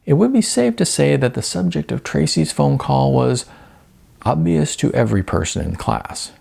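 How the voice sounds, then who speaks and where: Novel narrator, scripted storytelling, husky creaky voice, very lively pitch. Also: moderate pace. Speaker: audiobook narrator.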